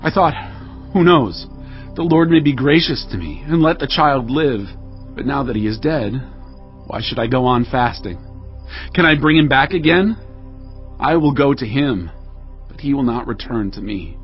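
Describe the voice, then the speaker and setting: A man's voice: medium pitch, expressive, moderate pace, long pauses, muffled recording, soft background music, lightly acted. An actor playing a grieving, resigned king and father, solemn and earnest, in a dramatized audio Bible.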